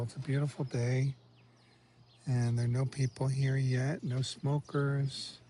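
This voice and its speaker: Mellow-voiced man muttering travel asides. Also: medium pitch, slight background noise. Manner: chatty, muttering asides, sometimes whispering. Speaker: travel vlogger. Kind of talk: casual walking vlog commentary. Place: outdoors in a village street and on a café terrace.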